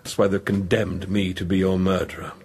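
A man in a radio drama playing a weary, guilt-ridden priest, anguished and searching, with an intense, halting, brooding delivery. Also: low-pitched, little pitch movement, gravelly, a little mellow, a little hiss or hum. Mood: fearful, intense.

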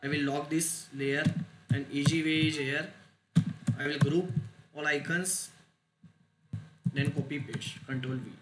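A man giving a step-by-step software tutorial in short, halting phrases with non-native phrasing. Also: fairly high pitch, fairly even intonation. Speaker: tutorial instructor. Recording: roomy echo, loud noise, clicks.

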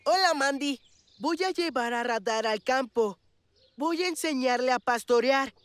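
A woman's voice: high-pitched, a little slow, clear, resonant tone, no room sound. A woman voicing an eager, cocky young schoolboy in a children's cartoon, with a Latin American Spanish accent and an insistent, boastful manner. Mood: eager, confident. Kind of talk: animated children's series dubbing.

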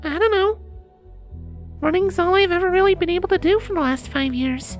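A woman's voice: very high voice, animated, a little fast, faint music behind. A woman voicing a timid, weary young runaway filly pony in a dramatised audiobook, with a childlike, uncertain manner. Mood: uneasy, resigned. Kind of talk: dramatised audiobook character acting.

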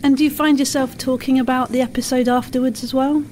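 A woman's voice: high-pitched, fairly even intonation, quick pace, darkish tone, a little hiss or hum. A woman interviewing children in a casual, enthusiastic and encouraging way for a podcast.

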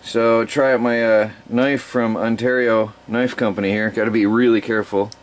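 A man's voice: lowish voice, level tone, normal speed. A man casually narrating his chores as a homesteading vlogger, rambling and chatty as he works outdoors with a head-mounted camera. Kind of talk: casual first-person narration while working. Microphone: head-mounted action camera.

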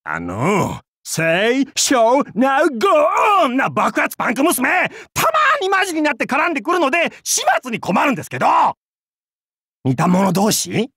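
An actor voicing a goofy, creepy, nerdy middle-aged pirate in an anime game, clownish and theatrical, with a gravelly rasp. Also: male voice, high voice, huge pitch swings, slow pace, dry recording, studio quality, full character voice. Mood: grumbling. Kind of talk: anime game character lines.

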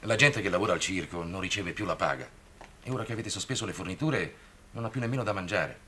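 Deep-voiced, patient priest. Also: male voice, sharp tone. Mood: earnest, troubled. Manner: measured, earnest, formal. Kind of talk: 1960s television drama acting. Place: indoors, study, off-screen microphone. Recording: noisy vintage television soundtrack.

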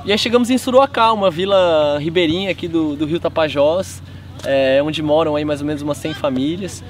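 Young man, documentary interviewee outdoors, relaxed halting delivery, distant mic, noisy background. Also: a little high. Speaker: project cofounder. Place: outdoors by a sports field.

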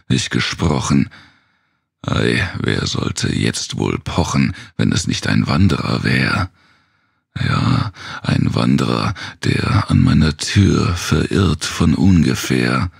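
Male narrator for gothic horror poetry: extremely low, husky voice, brooding and theatrical, slow delivery. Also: no room sound, clean studio sound. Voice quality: very gravelly, husky. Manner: brooding, theatrical, dropping into whispers.

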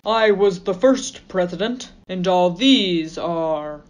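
An actor playing a stately first president on a mountain carving, speaking slowly with folksy condescension. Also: mid-range voice. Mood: condescending. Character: stately founding-father president statue.

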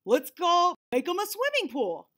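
A woman's voice: a little slow, heavily acted. A woman voicing a big jolly male imaginary creature from a storybook, silly and exuberant. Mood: eager. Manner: booming, excitable, silly.

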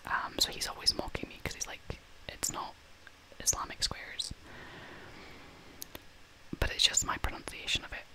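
A young adult woman speaks entirely in a whisper. She chats informally and takes her time, in the manner of an ASMR vlogger showing things she has bought. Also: poor audio. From half Scottish, half American. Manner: unhurried, informal, chatty. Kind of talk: whispered ASMR shopping haul.

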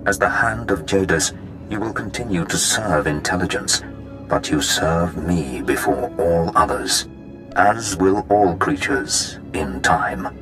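Super deep menacing sith lord, pompous game villain, music underneath. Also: male voice, rough recording. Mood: triumphant. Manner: pompous, commanding, deliberate. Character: menacing, imperious male Sith lord seeking to rule. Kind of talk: dramatic video game voice acting.

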